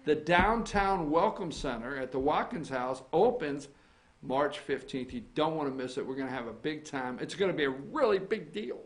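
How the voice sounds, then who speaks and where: A man hosting a casual morning talk show, with a voice pitched a little higher than most men's. He teases his co-host and cuts in on her, then turns to the viewers to point them where to look. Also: lively intonation, moderate pace. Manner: teasing, banters and interrupts.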